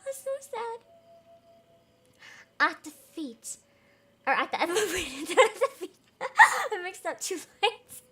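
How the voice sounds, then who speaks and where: A woman's voice: very high-pitched, very animated, slow, pauses often, bright, forceful tone. A woman reading a fairy tale aloud as host, in an emotive, giggly way with casual reactions.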